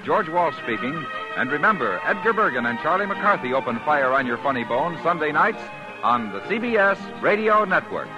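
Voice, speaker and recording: A man's voice: on the high side, sing-song, normal speed, low-quality recording. An American man reading scripted credits and promos as a 1950s radio announcer. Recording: vintage radio broadcast, music behind.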